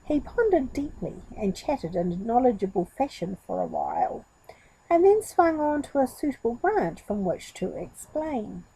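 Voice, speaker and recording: A woman storyteller giving an expressive narration of a story for children. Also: on the high side, normal speed, slightly rough, no room sound, poor audio.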